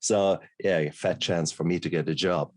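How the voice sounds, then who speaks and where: Casting note: deep, low-voiced man from Germany, interview host, halting delivery, dry close sound. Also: fairly even intonation, dry recording. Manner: hesitant, repeats words. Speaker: podcast host, doctor. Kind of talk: casual interview chat.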